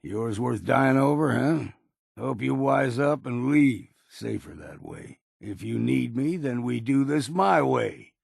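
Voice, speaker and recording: An actor playing a gruff, weary old hunter in a video game, blunt and proud, with a gravelly, husky voice and a folksy, rustic drawl. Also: male voice, medium pitch, slow, a little mellow, dry recording, studio quality, exaggerated voice. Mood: wary, measured. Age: older. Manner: folksy, plain-spoken drawl with rustic grammar. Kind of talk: video game character dialogue.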